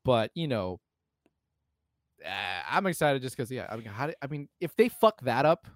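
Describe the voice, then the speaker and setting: Male podcast co-host, medium pitch, excitable and slangy, casual chat delivery, dry sound. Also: normal speed, no room sound. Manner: emphatic, enthusiastic, slangy, often swearing. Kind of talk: casual conversational film and game chat.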